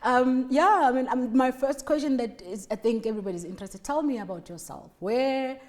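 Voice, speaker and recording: A woman's voice: sits high, brisk, darkish tone. A woman with a South African accent hosting a casual interview, chatty and teasing, with frequent laughter. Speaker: talk show host.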